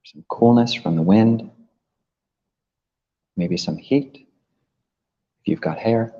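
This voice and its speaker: A man leading a guided meditation as a teacher, gently instructing with long pauses between phrases. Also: on the low side. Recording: muffled, long reverberant hall echo.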